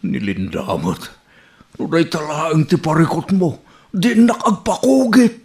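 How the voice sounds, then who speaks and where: An actor with an Ilocano Filipino accent playing a flustered, lovesick old widower, blustering and hesitant in a radio comedy drama. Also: male voice, on the high side, expressive, measured pace, creaky, darkish tone. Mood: pleading. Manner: hesitant, blustering, comic exclamations.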